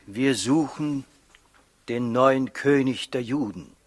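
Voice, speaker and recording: An actor playing a solemn, devout wise man from the East, breathy and hushed, in a 1960s radio drama. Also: medium pitch, takes it slowly, dry recording. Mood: solemn, reverent.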